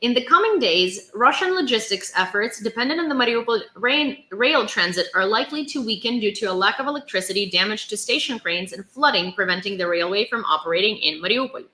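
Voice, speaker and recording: A woman's voice: medium pitch, expressive, brisk, few pauses, pushed and bright, echoey room. A woman from Ukraine with an American-sounding accent, hosting a livestream of news reading and informal chat with viewers. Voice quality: versatile English with American-sounding vowels. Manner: chatty, informal, thanks viewers by name. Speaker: livestream host reporting Ukraine war news.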